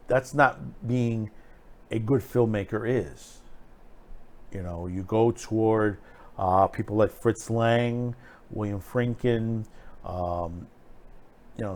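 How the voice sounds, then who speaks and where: A man hosting an online film show, giving unscripted, opinionated commentary in a halting, rambling way with emphatic repeated phrases. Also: fairly low pitch, darkish tone.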